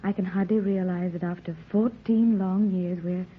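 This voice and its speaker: An actress playing a gentle, composed middle-aged mother, genteel and pleading, in an old-time radio adventure serial. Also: lowish voice, steady tone, moderate pace, a little hiss or hum, close to an everyday voice. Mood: tender. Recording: muffled, narrow-band old radio recording.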